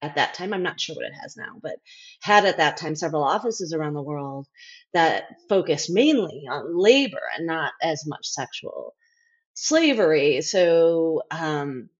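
Middle-aged woman, low-pitched, dull lo-fi audio. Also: expressive, a little slow. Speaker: interview guest, former overseas aid worker. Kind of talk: candid conversational interview.

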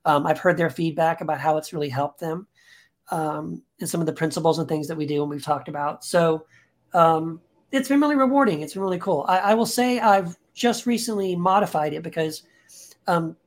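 Earnest man talking in halting restarts. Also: a little high. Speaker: podcast guest and author. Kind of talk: casual conversational interview. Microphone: podcast microphone.

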